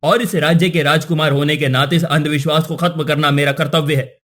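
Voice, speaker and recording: Man as earnest, slightly husky young prince. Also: on the high side, fairly even intonation, brisk, a bit bright. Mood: firm. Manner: earnest, formal. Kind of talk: formal Hindi cartoon story acting.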